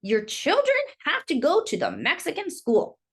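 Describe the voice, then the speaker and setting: Woman reader as storybook superintendent, male, curt, unyielding, official, high for a man. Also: wildly swooping pitch, normal speed, fairly bright tone, full character voice.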